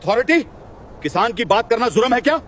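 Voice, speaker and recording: A man playing a commanding, protective army officer, authoritative and indignant, in a scripted animated moral story. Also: very high-pitched, fairly quick, a little hiss or hum, exaggerated voice. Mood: stern. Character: commanding male army officer, stern protector of his men.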